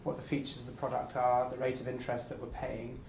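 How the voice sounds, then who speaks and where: A man's voice: medium pitch, monotone, fast, gravelly, a little mellow, echoey room, slight background noise, poor audio. A man representing a building society, answering an audience question courteously and encouraging people to sign up.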